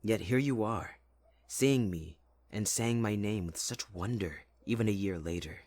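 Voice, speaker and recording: Husky, low-voiced winter spirit, acted by a man. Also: measured pace, a little mellow. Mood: tender, longing. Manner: teasing, dramatic, addressing the listener closely. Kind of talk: intimate ASMR audio roleplay acting.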